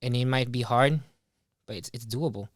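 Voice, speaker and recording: Young man, mid-pitched, very dry sound. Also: normal speed, dry recording. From Ecuador. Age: young adult. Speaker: podcast guest. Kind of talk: casual chat, personal reflection. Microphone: close boom-arm studio microphone.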